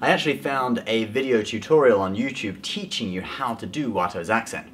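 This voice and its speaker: A man's voice: lowish voice, expressive, fairly quick, a bit bright, slight background noise. A man in his twenties hosting a scripted explainer video about films, speaking to camera. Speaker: host of a film trivia video. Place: small indoor room at home.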